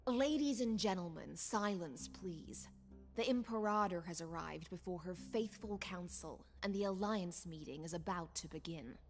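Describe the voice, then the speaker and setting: Woman acting female knight commander, lower register, courtly, measured, devoted servant of the throne, game dialogue, soft music behind. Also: lightly acted. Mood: ceremonial. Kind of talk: scripted video game character dialogue.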